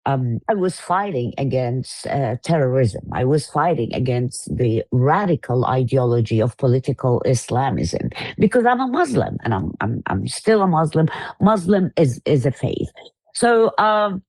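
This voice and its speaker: A woman from Iraq in her fifties, telling her personal story as an interview guest, earnest and halting with frequent fillers. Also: extremely low pitch, big pitch swings, darkish tone, no room sound.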